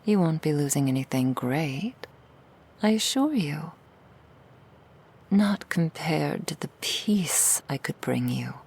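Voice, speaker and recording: A woman playing a seductive female demon in an ASMR roleplay, coaxing the listener in a breathy whisper with soothing, insidious persuasion. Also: sits low, animated, slow pace, long pauses, darkish tone, full character voice. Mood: possessive, soothing. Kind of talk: ASMR roleplay, intimate monologue.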